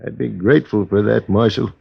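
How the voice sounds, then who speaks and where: A husky, creaky wounded frontiersman. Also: fairly low pitch, steady tone, fairly quick, full character voice. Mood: anxious, puzzled. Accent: American. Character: wounded, complaining male stagecoach man, anxious and plain-spoken. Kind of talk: 1950s radio western acting. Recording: dull old radio recording.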